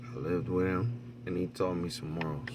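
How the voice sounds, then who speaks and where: A man's voice: sits low, fairly even intonation, normal speed, distant mic, music in the background. A middle-aged American man telling personal stories in a casual, profane, candid and rambling way, asking rhetorical questions as he goes. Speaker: storyteller.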